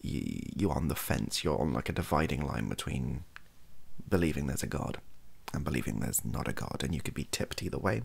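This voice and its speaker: A young British man chatting softly and casually in an ASMR style, rambling and hesitant, with a gravelly, husky voice. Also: a bass voice, animated, a little fast. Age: young adult. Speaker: content creator. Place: small cluttered bedroom, indoors.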